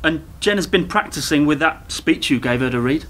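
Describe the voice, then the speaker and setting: A man's voice: on the high side, animated, normal speed, fairly bright tone, a little hiss or hum. An actor in a radio play, playing a mild young male accomplice who talks in an earnest, deferential way.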